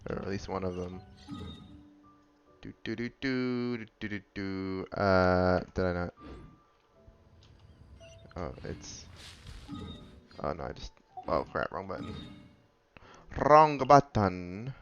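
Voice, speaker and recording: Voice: low voice, animated, takes it slowly, long pauses, muffled recording. A gamer giving casual, informal, reactive commentary over a video game playthrough. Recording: game music behind the voice. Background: game music.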